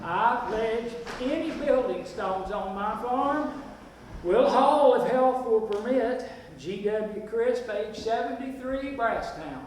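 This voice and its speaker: A man's voice: extremely high pitch, animated, takes it slowly, a little hiss or hum, full character voice. A man playing sincere rural farmers in a stage play, reciting in a formal, declarative way. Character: sincere rural farmers pledging labor and land. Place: stage performance at a festival. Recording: echoey hall, distant mic.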